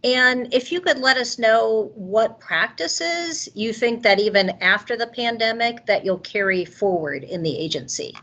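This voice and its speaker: A woman's voice: fairly high pitch, lively intonation, a little slow, hardly any pauses, bright, forceful tone. A woman moderating a virtual meeting from an office, acknowledging speakers and putting questions to them.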